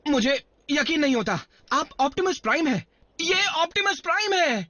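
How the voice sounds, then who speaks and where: A man voicing an eager, cocky young robot recruit in an animated series dub, enthusiastic and boastful. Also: very high-pitched, normal speed, a little hiss or hum, heavily acted. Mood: starstruck, eager.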